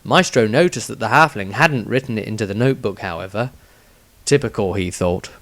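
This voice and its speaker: A man's voice: lowish voice, brisk, fairly bright tone, no room sound. A man reading his own fantasy story as scripted narration.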